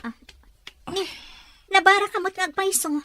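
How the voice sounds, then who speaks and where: A woman playing a caring young wife and daughter who speaks in a lively, brisk way in an audio drama. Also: extremely high pitch.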